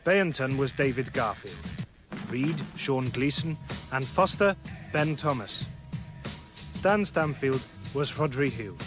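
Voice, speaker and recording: A man's voice: medium pitch, lively intonation, slow. A man reading scripted closing credits as a radio drama announcer. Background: music.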